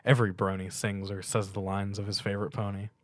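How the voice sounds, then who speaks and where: A man's voice: on the low side, flat delivery, quick pace, no room sound, clean studio sound. A man narrating a scripted fan fiction story as a dramatic reading.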